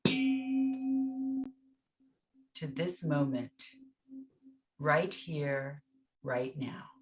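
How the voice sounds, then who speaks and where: Female meditation teacher, low register, very unhurried. Gentle, soothing guidance in short, spaced phrases. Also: music in the background. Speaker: meditation teacher leading a guided session. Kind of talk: guided meditation.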